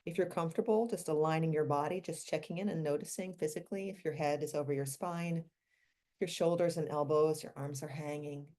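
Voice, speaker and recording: Low, mellow woman guiding a meditation. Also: fairly even intonation. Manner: gentle, unhurried, with long pauses between short phrases. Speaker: meditation guide and physician. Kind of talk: guided meditation.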